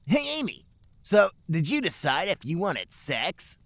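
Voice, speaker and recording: A man's voice: on the high side, wildly swooping pitch, measured pace, a bit bright, no room sound, voice pushed up, heavily acted. A man voicing a brash, eager teenage cartoon hedgehog in a fan fiction reading.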